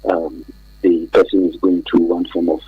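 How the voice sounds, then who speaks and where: A man's voice: low voice, flat delivery, normal speed, breathy edge, no echo, phone-quality sound, soft background music. A man with a Nigerian accent giving hesitant, explanatory answers as an expert guest in a radio interview. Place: radio studio.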